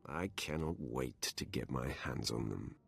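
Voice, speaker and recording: An actor voicing a cold, menacing Sith warrior in a video game, curt, mocking and self-assured. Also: male voice, extremely low pitch, a little slow, gravelly, fairly bright tone, full character voice. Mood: menacing eagerness. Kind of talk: scripted video game acting. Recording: music behind the voice.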